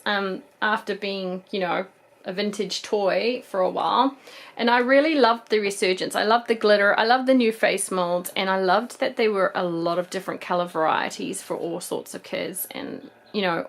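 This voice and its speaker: A woman, casually showing off secondhand plush toys in a chatty, gushing way. Her voice is a bit croaky from a cold. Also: medium pitch. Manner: chatty, gushing over toys, frequent ums. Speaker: toy collector vlogger. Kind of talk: casual haul show-and-tell.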